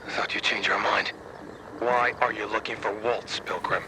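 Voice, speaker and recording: An actor playing a secretive, pragmatic informant in a video game, talking in a guarded, terse way. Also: male voice, on the low side, fairly even intonation, moderate pace, creaky, background noise. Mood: guarded, knowing. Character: secretive, pragmatic male informant fleeing a ruined city. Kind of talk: video game cutscene acting.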